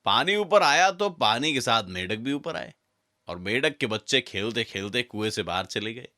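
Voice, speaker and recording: A man's voice: medium pitch, very animated, moderate pace, bright, forceful tone. A man narrating a scripted children's fable as a storyteller.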